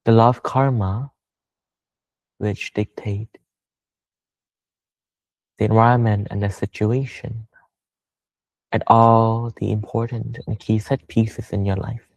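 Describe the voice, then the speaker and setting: A man teaching a guided Buddhist meditation, speaking slowly and measuredly in short phrases with pauses. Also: fairly low pitch, level tone, no echo, rough recording. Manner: slow, measured, pausing between short phrases.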